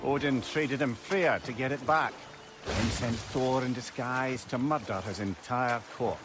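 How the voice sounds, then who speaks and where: An actor playing a talkative, learned old counsellor in a video game, with an eloquent, theatrical way of talking. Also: male voice, mid-range voice, unhurried, noisy background, natural voice. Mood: wry storytelling. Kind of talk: video game dramatic acting.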